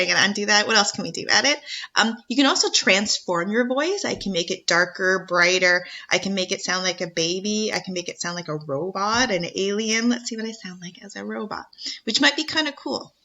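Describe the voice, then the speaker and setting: A woman teacher walks students through a screen-share tutorial. Her voice is bright and clear at a middle pitch. She keeps it chatty and encouraging. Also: expressive, brisk.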